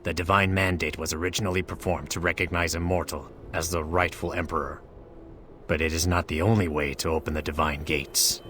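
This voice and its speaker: Very deep, grave divine being. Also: male voice, a bit bright, a little hiss or hum. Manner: measured, formal, grave. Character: solemn, regretful male divine being, grave and formal. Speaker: game character voice actor. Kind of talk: scripted game dialogue.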